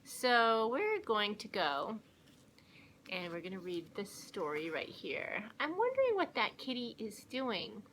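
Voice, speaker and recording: A woman reading aloud slowly to young children like a teacher, with a deliberate, coaching and animated manner. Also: medium pitch, slight background noise, low-quality recording. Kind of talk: slow read-along for young children.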